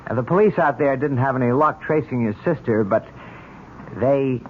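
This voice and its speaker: An actor playing a polite, measured police lieutenant in a 1970s radio drama. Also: male voice, mid-range voice, natural voice. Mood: polite, grave. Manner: measured, courteous. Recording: dull, noisy old radio recording.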